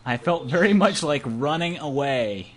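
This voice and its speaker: A man's voice: a little high, expressive, measured pace. A young man reading a visual novel aloud as an amateur narrator, in a hammy, playful way that mixes dramatic reading with joking asides. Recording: amateur home recording with clear background noise.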